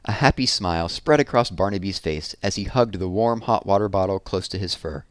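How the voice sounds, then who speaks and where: A man's voice: deep voice, brisk, clean tone, no room sound, clean studio sound. A man reading storybooks aloud for children in a storytelling style. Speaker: storybook reader.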